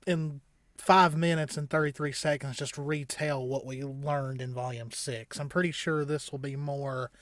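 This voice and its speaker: A man hosting a reaction show with casual commentary, often halting and thinking aloud as he goes. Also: mid-range voice, steady tone, a bit bright, no room sound, clean studio sound.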